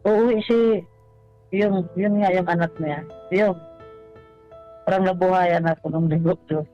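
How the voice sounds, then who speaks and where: A man phoning a radio advice show, telling a personal story haltingly, stumbling over and repeating words. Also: sits high, steady tone. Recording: dull lo-fi call, music behind. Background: background music.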